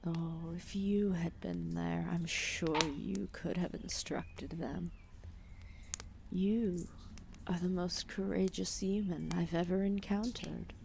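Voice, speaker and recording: A woman voicing an ancient, lethargic serpent goddess, protective and calmly menacing, in a formal, soothing, intimate ASMR roleplay with whispered phrases. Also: fairly low pitch, takes it slowly, gravelly, a little hiss or hum. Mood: reflective, admiring. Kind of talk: ASMR roleplay, intimate soft-spoken acting.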